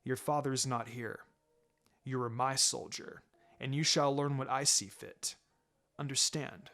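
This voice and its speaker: A man voicing a calm, firm ninja mentor in a fan fiction reading, encouraging and dryly teasing in a measured, instructive way. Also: medium pitch, soft background music. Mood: stern. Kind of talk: fan fiction character dialogue.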